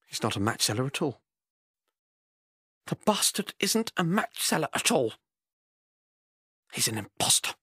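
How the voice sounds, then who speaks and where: A man's voice: medium pitch, big pitch swings, measured pace, pauses often, sharp tone, breathy edge, no echo, studio quality, pitched above the performer's own voice, full character voice. A man performing a dramatic monologue as a haughty, indignant man, fussy and clipped in his outrage. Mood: indignant, outraged. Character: indignant, haughty man obsessed with a suspicious match seller.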